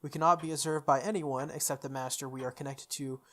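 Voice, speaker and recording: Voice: mid-range voice, fairly even intonation, fast, slight background noise, lightly acted. A video game player voicing a sardonic male spirit archer servant, formal and teasing, from a visual novel. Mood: matter-of-fact.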